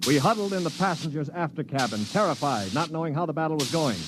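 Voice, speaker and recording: An actor playing a cool, cynical former space pilot in a 1950s radio drama, dry, matter-of-fact and commanding. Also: male voice, a little high, lively intonation, fast talker, noisy background, close to an everyday voice. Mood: grave narration. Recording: 1950s radio recording with music behind.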